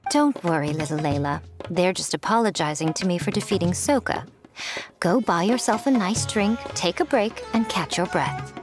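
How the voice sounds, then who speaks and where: A woman's voice: fairly low pitch, normal speed, close to an everyday voice. Woman acting a sly, poised lady over music. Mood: coy, reassuring. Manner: smooth-worded, coy, condescendingly affectionate. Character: poised, teasing, sly elegant adult woman mentor. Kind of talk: video game character acting. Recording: loud music behind the voice.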